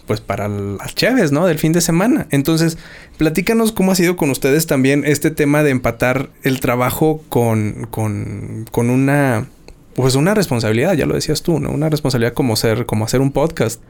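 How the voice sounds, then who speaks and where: Young man, Zacatecas accent, Mexican host, slangy and rambling, mid-pitched voice. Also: animated, moderate pace, vocal fry, darkish tone. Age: young adult. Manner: rambling, repeats words, slangy. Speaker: podcast host. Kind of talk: casual podcast chat.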